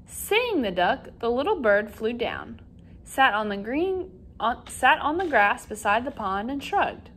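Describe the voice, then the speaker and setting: High, animated woman teaching young children. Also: a little slow. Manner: expressive, addressing children. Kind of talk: children's online lesson and story read-aloud.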